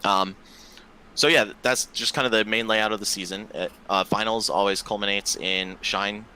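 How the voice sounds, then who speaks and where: A man's voice: lowish voice, steady tone, normal speed, pushed and bright, clean tone, low-quality recording. A man in his twenties, a podcast guest chatting casually and talkatively, with loose filler words and the odd swear. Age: 20s. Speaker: podcast guest, esports tournament organizer.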